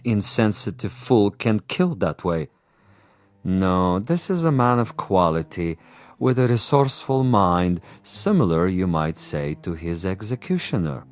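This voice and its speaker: An actor playing a cunning, theatrical commissar in a radio drama, suave, precise and condescending, with an imperious air. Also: male voice, sits low. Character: cunning, theatrical commissar, a patient, gloating assassin.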